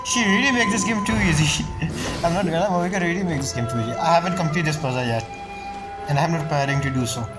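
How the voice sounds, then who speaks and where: A woman's voice: low-pitched, fast, low-quality recording. A woman giving casual, informal gameplay commentary as she plays, in short musing reactions. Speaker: game streamer, player. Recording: game music behind the voice. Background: video game music.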